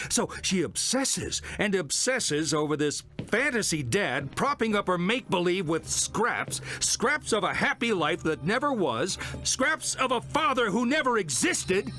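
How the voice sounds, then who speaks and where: A man's voice: high voice, sing-song, moderate pace, few pauses, bright, forceful tone, full character voice. An actor playing a provocative, impatient male psychiatrist in a video game, theatrical and pointed, asking rhetorical questions. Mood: exasperated, scornful. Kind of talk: dramatic video game acting. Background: music.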